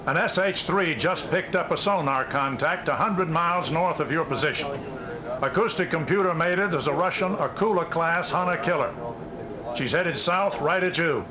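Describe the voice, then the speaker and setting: A man's voice: fairly high pitch, moderate pace, a crowd in the background, close to an everyday voice. An actor playing a blunt, commanding middle-aged admiral giving a submarine captain his orders, from a game video. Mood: stern. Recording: dull, noisy old game video audio.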